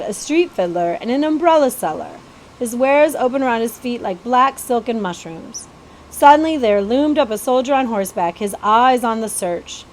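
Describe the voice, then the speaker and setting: A woman's voice: a little high, slight background noise, low-quality recording. A woman reading a children's novel aloud as a storybook narrator.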